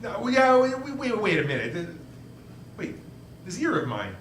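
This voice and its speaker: A man playing a troubled, wry everyman in a 1940s-style radio play, with halting, folksy, stammering speech. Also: high-pitched, wildly swooping pitch, fairly bright tone, echoey room, a little hiss or hum, exaggerated voice. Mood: baffled, agitated. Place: indoor stage performance.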